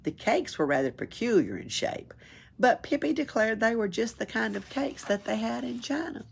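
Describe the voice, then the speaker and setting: A woman's voice: on the high side, normal speed, vocal fry, slightly rough. A woman reads a children's story aloud expressively, as a library storyteller. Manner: expressive, chuckles and adds asides. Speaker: library read-aloud reader.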